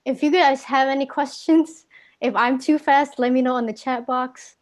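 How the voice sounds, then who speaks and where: A woman's voice: sits high, steady tone, normal speed. Indonesian-accented young woman teaching English. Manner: casual, filler-heavy, self-correcting. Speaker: guest English speaking tutor. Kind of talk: live online class talk.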